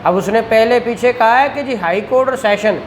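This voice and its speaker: A man with a Pakistani accent teaching law. He reads statute text slowly word by word, then explains it quickly and asks his students rhetorical questions. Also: extremely high pitch, echoey room, slight background noise. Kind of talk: lecture mixing Hindi-Urdu explanation with English statute reading.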